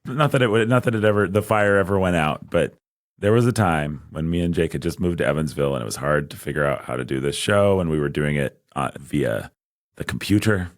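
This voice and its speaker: A man co-hosting a podcast in casual chat, hoarse after a long recording day, joking and rambling with frequent restarts. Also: sits low, a little fast, few pauses, no room sound, studio quality. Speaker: podcast co-host. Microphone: studio microphone.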